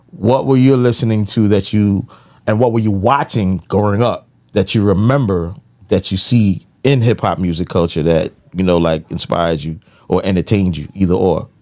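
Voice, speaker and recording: A man's voice: on the low side, darkish tone. An American man hosting a podcast, talking casually with lots of slang and conversational tags. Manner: slangy, full of conversational tags like "you know". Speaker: podcast host.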